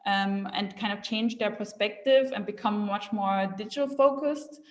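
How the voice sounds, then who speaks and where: A woman's voice: mid-range voice, normal speed, echoey room. A young woman from Austria, guest on a marketing web show, chatting casually with lots of fillers and frequent laughs. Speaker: guest speaker. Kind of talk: casual chat.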